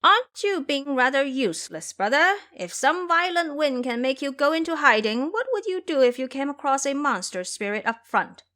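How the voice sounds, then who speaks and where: A woman voicing a bold, cocky male monkey hero with a brisk, teasing manner in an audio drama. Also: high-pitched, big pitch swings, pushed and bright, pitched above the performer's own voice, heavily acted. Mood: scornful, teasing.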